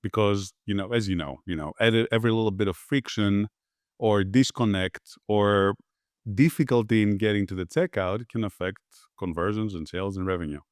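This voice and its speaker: A man from Greece answers an interviewer's questions in an easygoing way, pausing on fillers and restarting his sentences. His voice sits low and sounds smooth and resonant. It is recorded dry and close. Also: darkish tone, dry recording. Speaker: podcast guest, agency founder.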